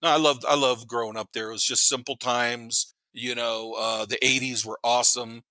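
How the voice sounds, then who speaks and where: Bright, punchy man in his fifties. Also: mid-range voice, fairly even intonation, normal speed, no echo, rough recording. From Panama. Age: 50s. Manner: blunt, slangy, profane, repeats words for emphasis. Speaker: podcast guest. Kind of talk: casual chat, storytelling.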